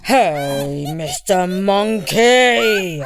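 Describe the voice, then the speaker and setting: Scornful, threatening jungle tiger female narrator performing, roaring slowly with big swings in pitch. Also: expressive, slight background noise. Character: fierce, scornful male tiger.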